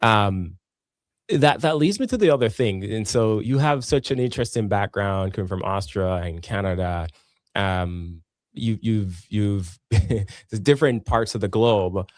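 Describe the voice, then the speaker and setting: Low-voiced man hosting, dry close sound. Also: lively intonation, unhurried, no echo. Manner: warm welcoming, curious questioner. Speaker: podcast host. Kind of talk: casual interview hosting.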